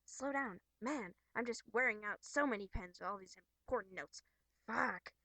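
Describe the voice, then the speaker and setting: A woman's voice: on the high side, moderate pace, bright, forceful tone, no room sound. A snide young troll girl, as acted by a woman in an amateur webcomic performance. She drawls her sarcasm with haughty exasperation and open mockery. The recording is dry, with no room sound. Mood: exasperated. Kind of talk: amateur character voice acting from a webcomic.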